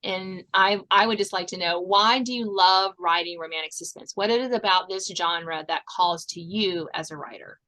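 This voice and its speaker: A woman's voice: on the low side, moderate pace, few pauses, sharp tone, rough recording. A woman hosting a podcast interview with an author, speaking conversationally. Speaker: podcast host.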